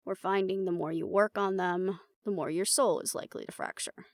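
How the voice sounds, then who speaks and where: A woman's voice: on the low side, fairly even intonation, fairly quick, dry recording, close to an everyday voice. A woman playing an uptight, weary researcher in a fantasy audio drama, with a dry, exasperated way of talking. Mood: matter-of-fact. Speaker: voice actor in an audio roleplay. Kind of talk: fantasy audio drama roleplay, conversational acting.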